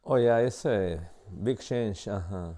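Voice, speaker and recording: A man in his sixties from El Salvador, with a Salvadoran Spanish accent, answering interview questions in halting English full of pauses and fillers. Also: on the low side, warm tone. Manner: halting, many uh fillers and restarts.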